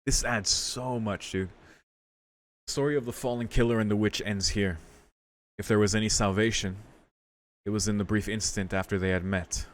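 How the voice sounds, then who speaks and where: A man's voice: lowish voice, steady tone, normal speed, a little hiss or hum. A man doing a casual, reflective let's play of a visual novel, commenting and reading the text aloud. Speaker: streamer playing a visual novel. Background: game music.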